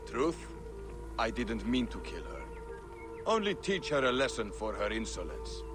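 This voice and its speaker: An actor playing an arrogant, callous master of arms in a video game drama, with a dismissive, contemptuous manner. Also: male voice, fairly low pitch, big pitch swings, measured pace, music in the background. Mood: scornful.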